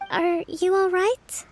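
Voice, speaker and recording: High-voiced gracious young leader. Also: female voice, slight background noise, heavily acted. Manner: polite, composed, measured formal wording. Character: young female island leader, gracious, dutiful, quietly overworked. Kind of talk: video game voice acting. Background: faint music.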